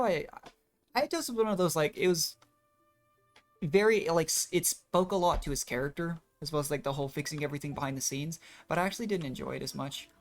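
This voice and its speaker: A video game streamer chatting casually, rambling and reflecting aloud while playing. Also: mid-range voice. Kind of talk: casual chat and commentary while gaming. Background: faint game music.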